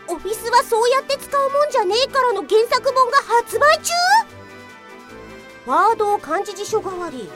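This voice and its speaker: A woman playing a stern, zealous police detective in an audio drama, declaiming dramatically with bursts of outrage. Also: very high-pitched. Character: zealous, stern female police detective enforcing office rules. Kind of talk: Japanese audio drama acting.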